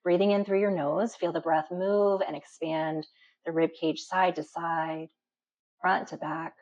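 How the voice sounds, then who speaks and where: A woman instructor guiding a deep relaxation meditation in a calm, instructional manner with slow pauses. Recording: dull, muffled lo-fi sound.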